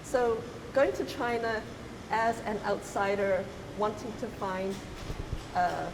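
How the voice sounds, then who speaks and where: A middle-aged woman from Zimbabwe, an artist talking reflectively, pausing often and restarting her thoughts. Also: mid-range voice. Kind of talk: reflective conversational talk. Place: gallery. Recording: roomy hall with hiss.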